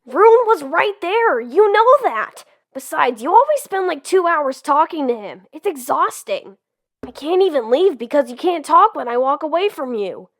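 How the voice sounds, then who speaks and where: A woman's voice: high voice, big pitch swings, normal speed. A young woman voicing a nervous, upbeat lead in a comic dub, with lots of fillers.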